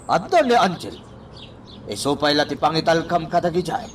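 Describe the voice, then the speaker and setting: Animated, higher-voiced greedy schemer. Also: male voice, moderate pace, slight background noise. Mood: calculating. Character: greedy, scheming young man plotting for wealth. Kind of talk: Ilocano radio drama acting. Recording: slightly distant from microphone.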